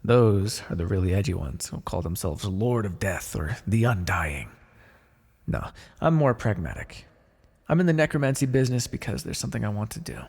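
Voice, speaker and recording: A man plays a pragmatic necromancer in a fantasy roleplay, chatting casually and wryly about grim work. His voice is husky and gravelly, with lively swings in pitch. A long reverb hangs around every phrase. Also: moderate pace, exaggerated voice. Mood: chatty, wry. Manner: casual, conversational, wry. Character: pragmatic, chatty male necromancer, matter-of-fact and ambitious. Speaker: roleplay voice actor. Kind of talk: ASMR fantasy roleplay, one-sided dialogue.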